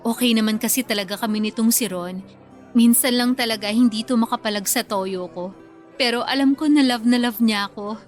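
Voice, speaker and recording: A woman acts out a quick-tempered bride-to-be with a high voice. She is playful and prodding, quick to take offence, in radio drama dialogue over a faint music bed. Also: lightly acted. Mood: teasing.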